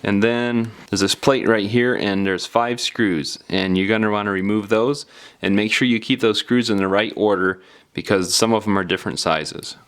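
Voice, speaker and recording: A man's voice: on the low side, steady tone, normal speed, fairly bright tone, smooth. A man narrating a step-by-step repair tutorial in an informal, plain-spoken, explanatory way. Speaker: DIY phone repair tutorial presenter. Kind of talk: casual step-by-step instructional narration.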